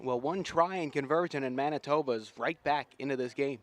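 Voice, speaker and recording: A man's voice: medium pitch, fairly quick. A man calling live rugby play-by-play outdoors in an enthusiastic, folksy, slangy way. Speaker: play-by-play sports commentator. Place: outdoors at a sports field.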